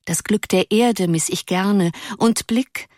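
A woman voicing a willful, dreamy teenage schoolgirl who speaks emphatically and declaims verse softly. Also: mid-range voice, slow pace, no echo, studio quality. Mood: declaiming.

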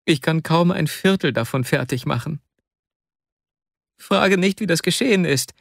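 A man's voice: on the high side, unhurried, creaky, no room sound, clean studio sound, pitched above the performer's own voice. A man reading a story, voicing a tearful, pleading young male clerk in a raised, anguished voice. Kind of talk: audiobook character voice.

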